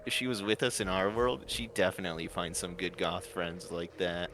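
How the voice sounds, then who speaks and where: A man's voice: low voice, flat delivery, moderate pace, fairly bright tone, a little hiss or hum, pitched below the performer's own voice. A man voicing a cool, understated teenage goth girl in a cartoon confessional. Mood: cool approval. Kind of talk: cartoon confessional in a fan story read-along.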